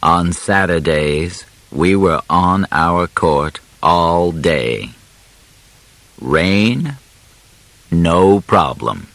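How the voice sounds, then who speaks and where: A man narrating an audiobook biography from a script, phrasing deliberately in short, separated chunks. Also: low-pitched, expressive, fairly bright tone, no room sound.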